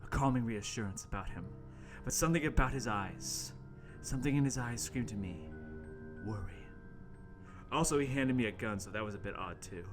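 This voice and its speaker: A man's voice: mid-range voice, expressive, fairly quick. A man narrating a horror radio play as its host, in a theatrical, mock-ominous dramatic style. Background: music.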